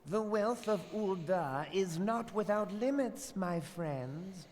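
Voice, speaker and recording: A wealthy, scheming merchant lord for a video game. His high voice swoops theatrically through haughty, mocking oratory. It rings in a hall with long reverb. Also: animated, slow, a little mellow. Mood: haughty, accusing. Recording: reverberant hall, light background noise.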